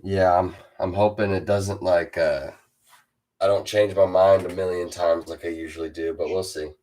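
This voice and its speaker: Teen guy with a super deep voice. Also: fairly even intonation, normal speed, warm tone. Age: teens. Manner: hesitant, lots of uh and restarts. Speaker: host interviewing a fellow rapper. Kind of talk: casual chat.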